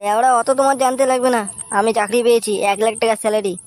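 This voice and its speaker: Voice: mid-range voice, steady tone, very fast, faint music behind, natural voice. A performer voicing a cocky, foul-mouthed young son in a comic cartoon dub, with a brash, crude manner. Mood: cocky, defiant.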